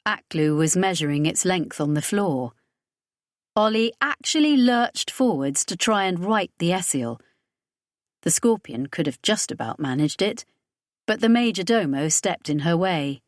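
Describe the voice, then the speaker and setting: Female audiobook narrator for science fiction, slow delivery, very dry recording. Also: lowish voice, no echo.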